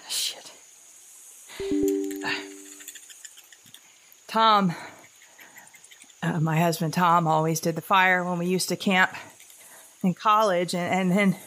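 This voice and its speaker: A weary woman survivor talks into her audio-drama diary in a low, gravelly voice. She is anxious and wry, rambling haltingly and correcting herself as she goes. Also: fairly bright tone, rough recording. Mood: frustrated, wistful. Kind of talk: naturalistic audio drama monologue.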